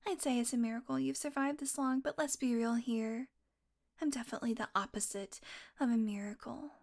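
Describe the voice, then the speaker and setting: Woman acting as female vampire, higher-pitched, sardonic, predatory, teasing, murmured asides, intimate audio roleplay. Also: fairly even intonation, fairly quick, vocal fry, a little mellow. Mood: wry, musing. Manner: snarky, sweary, teasing, often dropping to a murmur.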